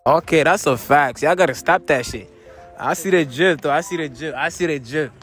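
A man's voice: a little high, moderate pace, fairly bright tone. A young man hosting a casual street interview with heavy slang, hyped and repeating his phrases. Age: young adult. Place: outdoors on a residential street and parking lot. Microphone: handheld mic. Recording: outdoor street recording, faint music behind.

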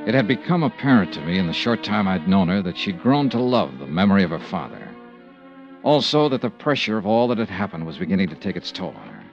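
An actor playing a tough but tender-hearted investigator in a 1950s radio detective drama, speaking in a clipped, understated way. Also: male voice, low-pitched, sing-song, fast talker, vocal fry, full character voice. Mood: sober narrating. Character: tough but tender-hearted male insurance investigator narrating his case. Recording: muffled old radio recording, music behind.

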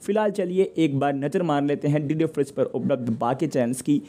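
Man, slightly high pitch, soft mellow tone, conversational update narration, direct address to viewers. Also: brisk. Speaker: host of a TV channel news update show. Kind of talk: scripted informal news update narration to viewers.

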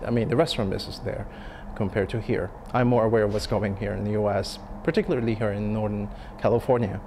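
A man in his thirties, a restaurant chef, speaking in a sit-down interview, thoughtful and halting with many fillers and restarts. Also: lowish voice, fairly even intonation, background noise. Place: dim restaurant dining room. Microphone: lapel mic.

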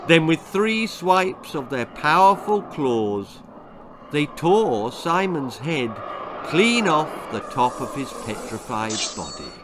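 An older man reading a children's horror story aloud in a deliberate, theatrical way. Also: a little high, sing-song, noisy background. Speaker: author reading his own children's story. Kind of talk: dramatic storytelling, scripted narration. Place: small book-lined room indoors.

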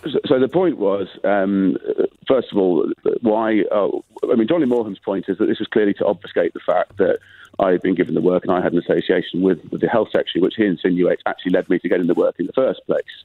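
A low, gravelly British man on a tinny, dry phone line, talking defensively and insistently. He talks over interruptions and keeps pushing to finish his point, husky and a little rough around the edges. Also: fast, no echo. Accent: British English. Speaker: caller. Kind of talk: phone-in call defending himself.

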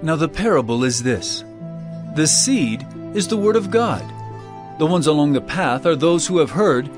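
A male actor as an earnest teacher of authority in an audio Bible drama. His fairly high male voice rises and falls expressively, solemn and deliberate, over prominent music. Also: lively intonation, natural voice. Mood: earnest teaching.

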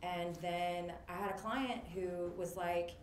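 A woman's voice: lowish voice, steady tone, slow. A woman in her thirties telling her career story on a video call panel, talkative and full of fillers. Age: 30s. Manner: chatty, lots of fillers, laughs easily. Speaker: guest, makeup artist business owner. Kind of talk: casual panel chat. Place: indoor room, on a video call. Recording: roomy webcam audio with noise.

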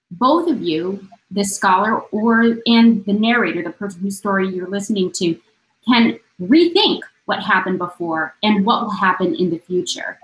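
A woman in her forties, an oral historian speaking on a video call panel, earnest and reflective as she lays out her points one by one. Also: mid-range voice. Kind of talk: conference panel remarks. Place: room lined with bookshelves. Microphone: video call webcam. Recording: roomy echo.

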